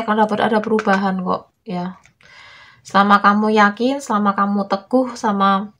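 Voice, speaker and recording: A young woman with a cold, reading tarot in a casual, reassuring way. Her voice is small and hoarse, and she speaks softly, drawing out her words. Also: mid-range voice. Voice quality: weak, small voice. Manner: soft, reassuring, drawn-out. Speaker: tarot reader.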